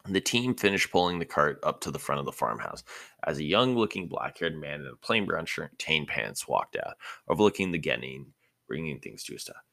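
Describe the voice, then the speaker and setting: A man's voice: extremely low pitch, big pitch swings, a little fast, creaky, a bit bright, no room sound, clean studio sound. A man reading a story aloud as scripted narration, stumbling over words now and then and rereading phrases. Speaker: reader of a fan fiction audiobook.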